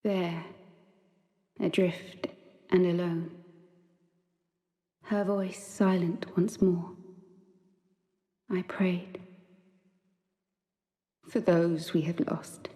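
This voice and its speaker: An actress playing a serene, solemn emissary of a goddess in a fantasy game, speaking in stately, archaic, halting phrases. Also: female voice, fairly low pitch, flat delivery, mellow. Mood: solemn, sorrowful. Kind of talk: fantasy video game cutscene acting. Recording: long cavernous reverb.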